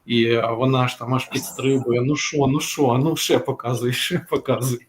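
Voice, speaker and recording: A middle-aged or older man, a guest author, chatting casually in a halting manner. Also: mid-range voice, fairly even intonation, slight background noise. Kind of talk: casual storytelling.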